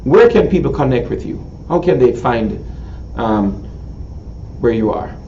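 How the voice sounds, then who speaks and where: A middle-aged man with a Jamaican accent hosting a casual interview, talking haltingly with many ums and repeated words. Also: medium pitch, big pitch swings, warm tone, slight background noise. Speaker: talk show host. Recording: echoey distant lo-fi video call.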